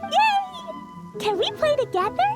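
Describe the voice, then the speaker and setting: Game casting: excitable small girl, extremely high, swooping pitch, music behind. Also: slow pace, full character voice. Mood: gleeful. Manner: exclamatory, childlike delivery. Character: excitable little girl, playful and easily amazed. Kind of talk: video game voice acting.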